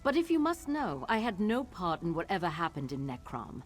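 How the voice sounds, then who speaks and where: Haughty low-voiced sorceress. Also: female voice, big pitch swings, moderate pace. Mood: imperious. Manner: imperious, dismissive. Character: haughty, evasive female Telvanni wizard master. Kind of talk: fantasy video game voice acting. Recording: music behind.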